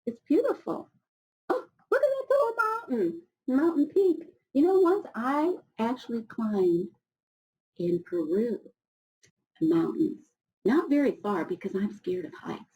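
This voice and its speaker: A middle-aged woman reads to schoolchildren in an animated, swooping voice. She often steps out of the text to chat directly with her listeners, encouraging them and marvelling in an expressive way. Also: a little high, unhurried, poor audio. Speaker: storybook reader for schoolchildren. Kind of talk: children's read-aloud with chatty asides.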